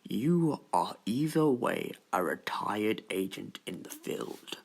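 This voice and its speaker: Man acting a slow, pompous, stern Time Lord president. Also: on the low side, very animated, gravelly, slightly rough, full character voice. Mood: measured, formal. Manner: pompous, deliberate, formal delivery with weighty pauses. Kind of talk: sci-fi audio drama acting.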